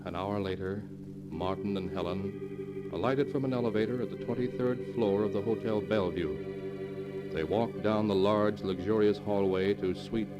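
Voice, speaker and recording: Guy doing solemn 1940s radio mystery narrator, low mellow voice, music behind. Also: normal speed, lo-fi sound, close to an everyday voice. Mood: solemn, ominous. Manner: solemn, dramatic storytelling. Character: solemn male storyteller of radio mystery tales.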